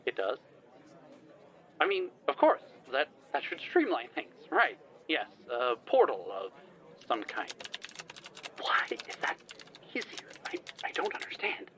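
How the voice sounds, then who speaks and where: A man's voice: mid-range voice, wildly swooping pitch, unhurried, a bit bright, a little hiss or hum. An actor playing a flustered, formal older male scientist in an audio drama, speaking wordily with nervous hesitations. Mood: flustered, resigned.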